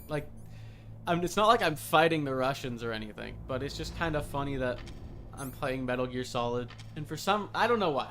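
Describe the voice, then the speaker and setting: A tired man casually commentating a live game stream, rambling, interrupting himself and thinking out loud. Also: mid-range voice, a little hiss or hum. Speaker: streamer, VTuber.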